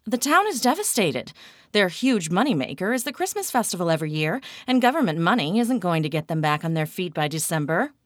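A woman voicing a brash, quick-tempered female TV renovation star who talks bluntly and sarcastically. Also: lowish voice, very animated, dry recording, clean studio sound, voice pushed up. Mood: fiery.

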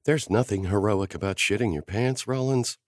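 A man voicing a sharp-tongued, competitive grown woman who talks in a snippy, wisecracking way. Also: fairly low pitch, lively intonation, normal speed, no echo, studio quality, pitched above the performer's own voice, close to an everyday voice. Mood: exasperated.

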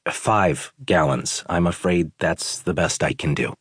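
A man voices a worn-out resort owner answering a complaint with strained politeness. The voice stays low and husky, recorded dry and close to the mic. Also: unhurried, no room sound. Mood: strained polite. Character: weary male resort owner, politely tense.

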